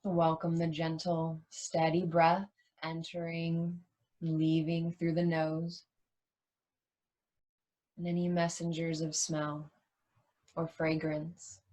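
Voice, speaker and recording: A woman leading a guided yoga nidra meditation as a teacher, soothing and unhurried, leaving long pauses between short phrases. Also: deep voice, monotone, a little mellow, poor audio.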